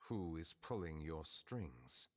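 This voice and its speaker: An actor playing a grave, composed chief justice in a video game, speaking formally and deliberately. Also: male voice, a bass voice, big pitch swings, exaggerated voice. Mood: interrogating. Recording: long reverb, music behind. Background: game music.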